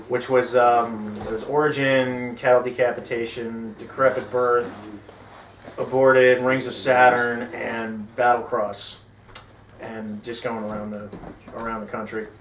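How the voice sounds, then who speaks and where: A man tells stories from the road in a casual interview, wry and dropping deadpan jokes. His voice sits at an ordinary middle pitch. He is picked up from across an echoey room with a faint hiss behind him. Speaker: touring guitarist. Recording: echoey room, distant mic, faint noise.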